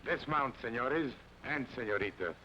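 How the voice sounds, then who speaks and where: A courteous, dutiful captain with a bright, forward voice and formal manners. The recording is dull and lo-fi, like an old radio broadcast with faint hiss. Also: male voice, medium pitch, lively intonation, normal speed. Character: polite, dutiful cavalry captain. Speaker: capitan, officer. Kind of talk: 1940s radio acting.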